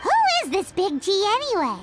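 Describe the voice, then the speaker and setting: A woman's voice: extremely high pitch, sing-song, fairly quick. A woman voicing a tiny, blunt, cheeky female fairy companion who talks about herself in the third person, in a game. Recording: game audio with loud music.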